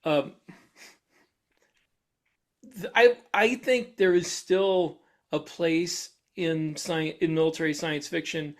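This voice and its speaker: Male co-host, mildly higher-pitched, relaxed discussion style, conversational and curious. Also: takes it slowly, long pauses. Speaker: podcast co-host. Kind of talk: casual discussion.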